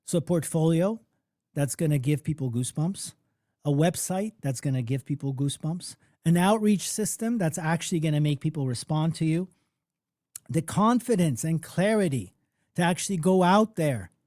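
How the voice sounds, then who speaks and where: A man who grew up in Poland, hosting a live music-business workshop in a conversational, animated storytelling manner, often checking in with "okay?" and "right?". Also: fairly high pitch, moderate pace, no echo, clean studio sound.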